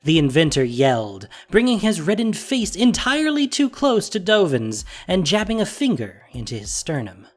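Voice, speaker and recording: A narrator reading a scripted story in an expressive, deliberate storytelling manner. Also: medium pitch. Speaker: narrator and producer. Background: music.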